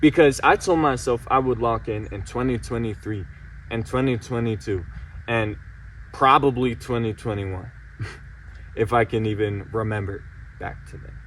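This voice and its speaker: A man's voice: mid-range voice, normal speed, fairly bright tone, recorded from a distance, rough recording. A late-teen young man pours out his frustration in an insistent, repeating vent. His voice sits a little away from the mic, with faint background noise underneath. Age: late teens. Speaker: vlogger. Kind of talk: emotional personal vent to camera.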